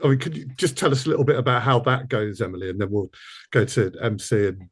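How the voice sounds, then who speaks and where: A man conducting a conversational interview as host, haltingly repeating words as he frames questions. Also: mid-range voice, lively intonation, husky. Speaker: interviewer and producer.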